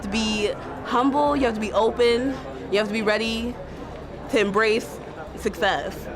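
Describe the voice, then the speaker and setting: A woman's voice: fairly high pitch, a little slow. Thoughtful young woman, music and crowd. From Ohio. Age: young adult. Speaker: recent high school graduate in a study abroad program. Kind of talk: reflective testimonial. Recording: crowd chatter and music behind.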